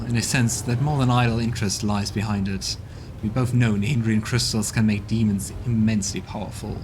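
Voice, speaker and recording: A man's voice: on the low side, background noise, lightly acted. A man reading as a grave male adviser. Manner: solemn, warning. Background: game music.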